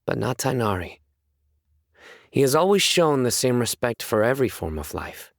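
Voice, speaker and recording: An actor playing a stern, composed young male judge in a video game, speaking in a measured, serious, deadpan way. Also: mid-range voice, gravelly, dry recording, clean studio sound. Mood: solemn, respectful. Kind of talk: scripted game character voice lines.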